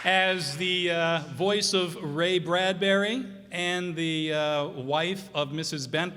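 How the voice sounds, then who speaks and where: Guy emceeing live, halting and slow, echoey hall with crowd and noise. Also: on the high side, bright, forceful tone, faint music behind. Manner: halting, informal. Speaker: host introducing the cast. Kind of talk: casual unscripted address to a live audience.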